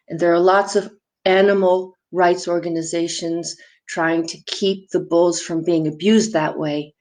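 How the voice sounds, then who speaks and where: Slow-paced woman storyteller for children. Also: mid-range voice. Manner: asks the viewers questions, explains simply and step by step. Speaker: children's storyteller and theatre performer. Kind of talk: demonstrating props and puppets to young viewers.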